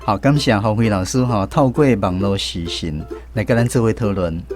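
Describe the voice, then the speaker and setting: A man's voice: fairly low pitch, normal speed, a little mellow, soft background music. A man with a Taiwanese accent hosting a language lesson segment on the radio as quizmaster. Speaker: radio show host and quizmaster.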